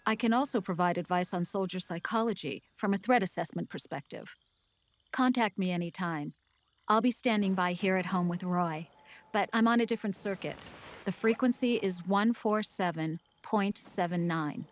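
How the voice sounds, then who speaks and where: A woman acts a young American military counselor in a video game cutscene. Her voice sits slightly low. She is composed, formal and professional as she explains her duties. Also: normal speed, slight background noise, natural voice. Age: young adult.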